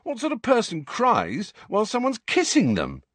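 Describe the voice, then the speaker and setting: A man voicing a cheeky, blunt, excitable teenage schoolboy in a storybook, incredulous in manner. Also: medium pitch, a little slow, gravelly, breathy edge, dry recording, voice pushed up, heavily acted. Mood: indignant, incredulous.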